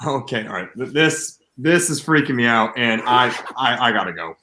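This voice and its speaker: A man playing a bewildered, uneasy grown son in an amateur staged play reading, halting and repeating his words. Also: mid-range voice, animated, sharp tone, a little hiss or hum. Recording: echoey, roomy sound.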